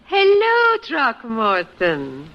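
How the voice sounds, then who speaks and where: An actress playing a charming, flirtatious French tutor in a 1950s radio comedy, with a soft, musical voice. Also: female voice, fairly high pitch, wildly swooping pitch, very slow, background noise, heavily acted. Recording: muffled 1950s radio recording.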